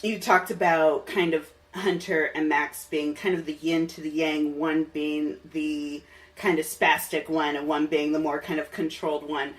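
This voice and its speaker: A woman with a low-pitched voice hosts a casual interview podcast. She talks with open enthusiasm and sounds somewhat distant from the microphone. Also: level tone, unhurried. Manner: enthusiastic, laughs often. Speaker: podcast host.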